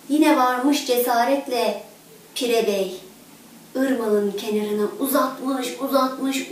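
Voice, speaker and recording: A woman in her thirties telling a children's fairy tale expressively, with dramatic pauses and rhythmic repetition. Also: on the high side, a little hiss or hum. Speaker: children's storyteller. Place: living room, indoors, seated on a sofa. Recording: echoey room, distant microphone.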